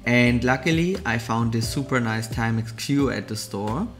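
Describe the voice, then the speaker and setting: A man's voice: medium pitch, normal speed. Man narrating a watch-shopping vlog. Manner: enthusiastic, explanatory product commentary. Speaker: vlogger, watch channel host. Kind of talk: scripted vlog voice-over. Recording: soft music underneath.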